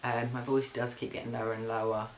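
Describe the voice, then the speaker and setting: Young man, monotone, mid pitch, chatty and halting with ums, home video diary, faint hiss. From England. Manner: chatty, frequent ums and restarts. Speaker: vlogger. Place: flat, indoors.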